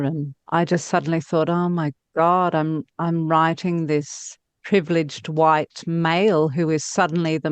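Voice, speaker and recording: Dry, close-sounding woman, lowish voice. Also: clean tone, no room sound. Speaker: novelist interview guest. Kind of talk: casual reflective interview talk.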